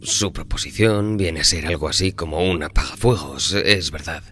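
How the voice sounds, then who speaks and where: Man playing deep-voiced, wary, earnest bargainer. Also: moderate pace, fairly bright tone, husky, exaggerated voice. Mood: wary, apologetic. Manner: hesitant, earnest, politely questioning. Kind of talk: audio horror drama acting. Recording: music behind the voice.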